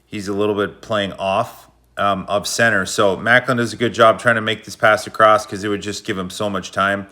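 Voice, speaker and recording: A man's voice: on the low side, fairly even intonation, moderate pace, bright, forceful tone. A man giving a casual, slangy breakdown of hockey game footage, explaining plays like a coach. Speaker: hockey video analyst.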